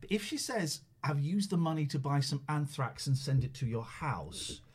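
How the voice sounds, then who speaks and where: Husky, lively male co-host. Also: on the high side, moderate pace. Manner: jokey, teasing, rambling. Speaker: co-host of a live show. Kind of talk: casual live-stream chat.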